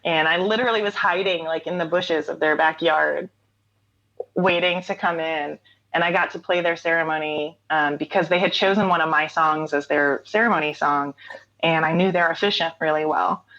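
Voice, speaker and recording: A woman's voice: low voice, fairly even intonation, moderate pace, a bit bright, lo-fi sound. A woman in her forties, a singer-songwriter guest telling stories in a casual interview, laughing often. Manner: chatty, laughs often, storytelling.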